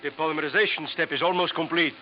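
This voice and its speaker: A man's voice: on the high side, steady tone, quick pace, natural voice. An actor playing a sinister, scheming male professor with polished, formal diction, in 1950s radio drama acting. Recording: dull old radio recording, faint hiss.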